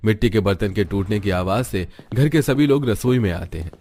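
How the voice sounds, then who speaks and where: Low-voiced male story narrator. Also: lively intonation, fairly quick, warm tone. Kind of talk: scripted cartoon story narration.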